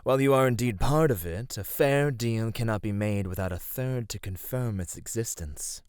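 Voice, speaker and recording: A man's voice: lowish voice, normal speed, a little mellow, smooth, no room sound, clean studio sound, natural voice. A man voicing a sly, flirty immortal god of beauty in an ASMR roleplay, teasing and coaxing without hurry. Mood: pleased, coaxing.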